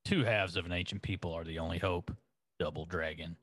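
A man co-hosting a podcast, chatting about movies with wry jokes and animated reactions. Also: deep voice, a little fast, dry recording. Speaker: podcast co-host. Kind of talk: casual chat about movies.

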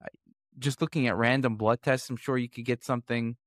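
A man's voice: medium pitch, fairly even intonation, a little fast, no echo, studio quality. A man hosting a podcast, asking questions in a casual interview style.

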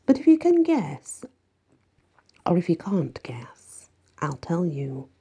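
A woman reading an audiobook, voicing a smug, unbalanced ancient male mummy with slow theatrical delivery. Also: fairly low pitch, huge pitch swings, warm tone, husky, exaggerated voice. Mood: sinister glee. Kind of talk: audiobook character voice.

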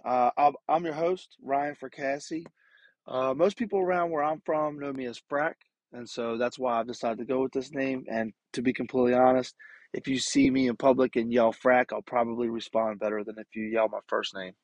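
A man's voice: mid-range voice, steady tone, normal speed, no echo, lo-fi sound. A man in his late twenties hosting a casual outdoors podcast, sounding nasal from being sick, rambling with lots of fillers and restarts. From Maryland. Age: late 20s. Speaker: podcast host.

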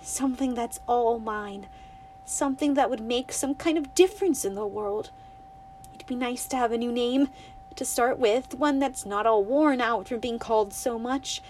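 A restless young girl, high and bright, pours out her wishes and dares to run away, put on by a woman reading aloud. Her voice sits well above the reader's usual tone. It swings from earnest pleading to stubborn defiance. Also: moderate pace, slight background noise, natural voice. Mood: wistful.

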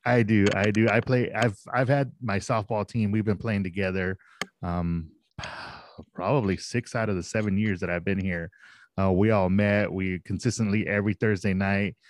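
A man's voice: fairly low pitch, fairly even intonation, normal speed, no echo. A man in his forties, a sports league director of operations, chatting casually and self-deprecatingly in an interview. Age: 40s. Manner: easygoing, self-deprecating, laughs often.

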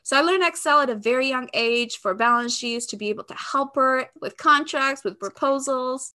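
This is a woman of about thirty who grew up in Albania and speaks English with an Albanian accent. Her voice sits high and has a bright, forceful tone. She talks in an expressive, conversational way, like a guest explaining her career. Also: normal speed. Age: around 30. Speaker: podcast guest, sales expert. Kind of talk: conversational interview answers.

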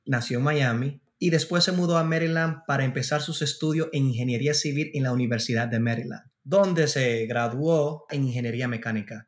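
Male podcast host, mid-pitched, conversational interviewer who restates and rephrases as he goes.

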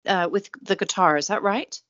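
A woman's voice: mid-range voice, moderate pace, fairly bright tone, no echo, lo-fi sound. A woman hosting a music show in a casual interview style, with a gushing, admiring manner.

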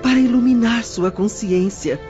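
Woman acting comforting religious mom in a radio play, slow n gentle, dull audio over music. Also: on the high side, lightly acted. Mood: tender consoling. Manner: gentle, consoling. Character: consoling, devout mother comforting her son. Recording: dull recording under clear music.

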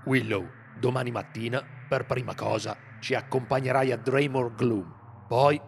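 A man's voice: mid-range voice, steady tone, measured pace, creaky, slight background noise. A man voicing a stern male monster hunter who holds to the law, in an Italian radio drama, with a grave, firm manner.

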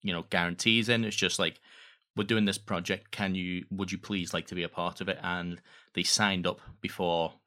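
A man telling anecdotes conversationally as an interview guest, halting and correcting himself as he goes. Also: deep voice, flat delivery, bright, forceful tone. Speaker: podcast guest, audio drama producer.

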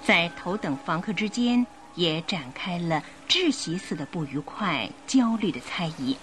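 A woman narrating a radio drama in an expressive, suspenseful storytelling style. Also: lowish voice, unhurried, slight background noise. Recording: music bed behind the voice. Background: music.

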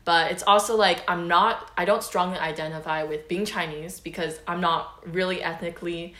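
A teenage girl from the US, co-hosting a podcast in a relaxed, chatty way with lots of "like" and "um". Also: fairly low pitch, normal speed, reverberant. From United States. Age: teens. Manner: relaxed, chatty, many fillers like "like" and "um". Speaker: podcast host. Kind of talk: casual chat.

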